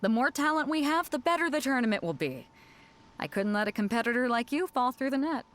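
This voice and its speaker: Bold, lively, swaggering organizer. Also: female voice, high-pitched, brisk. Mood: amused, breezy. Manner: easygoing, assured, persuasive. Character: bold, breezy, confident female tournament organizer. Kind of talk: video game voice acting.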